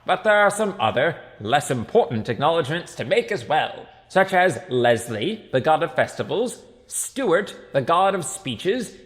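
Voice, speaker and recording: Guy doing a small town mayor, jolly n bumbling, high excited speechifying, loud, comic audiobook. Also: measured pace, few pauses, big-room sound. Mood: proud, excited. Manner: loud, exclamatory public address.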